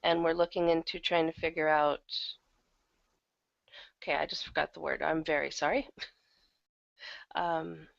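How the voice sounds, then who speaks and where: A woman's voice: deep voice, little pitch movement, measured pace, creaky, long pauses, no room sound. A woman chatting casually as an interview guest, candid and self-deprecating, over a computer microphone. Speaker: guest, audio drama producer and casting director. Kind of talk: casual interview chat about their work. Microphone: computer microphone on an online call. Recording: dull lo-fi internet call, uneven volume.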